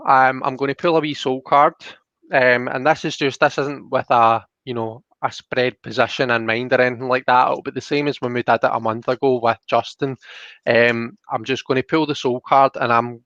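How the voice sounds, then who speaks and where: A man's voice: mid-range voice, fairly bright tone, no room sound, muffled recording. A man hosting a casual livestreamed tarot reading, explaining things in an informal, hesitant, chatty way. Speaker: host.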